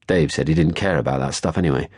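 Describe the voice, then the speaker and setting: A man reading scripted first-person literary fiction as an audiobook narrator, measured, articulate and wry. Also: extremely low pitch, dry recording, studio quality.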